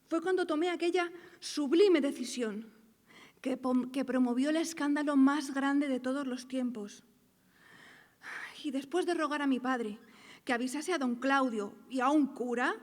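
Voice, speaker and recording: Woman performing a coy young señorita, high-pitched, theatrical radio drama monologue, expressive drawn-out phrases, reverberant hall. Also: close to an everyday voice. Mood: dramatic, resolute. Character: coquettish young single woman of 1890s Madrid, wistfully theatrical. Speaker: actress. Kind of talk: theatrical first-person monologue from a radio play. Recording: echoey hall reverb, live reading.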